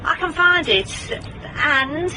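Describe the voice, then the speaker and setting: A wry, persistent British woman detective in a radio play. Her voice is fairly high and very animated, brisk and commanding, over clearly noisy ambience. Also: exaggerated voice. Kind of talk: radio drama acting with noir monologue.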